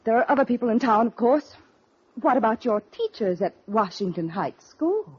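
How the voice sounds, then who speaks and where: An actress playing a suspicious, strong-willed American heiress, crisp and pointed in a 1940s radio mystery style. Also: female voice, fairly high pitch, animated, normal speed. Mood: indignant, probing. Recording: muffled, noisy vintage radio recording.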